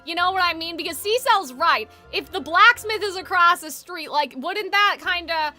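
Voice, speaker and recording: A woman giving casual live gaming commentary in an animated, rambling, opinionated way. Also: very high-pitched, moderate pace. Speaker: game streamer. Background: game music.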